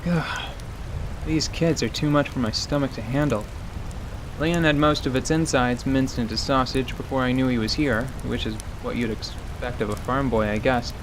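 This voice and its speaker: Guy doing a queasy rattled traveller man grumbling, halting, fantasy audio drama w rain behind. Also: mid-range voice, steady tone, background noise, close to an everyday voice. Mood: queasy, unsettled. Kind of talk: fantasy radio theatre acting.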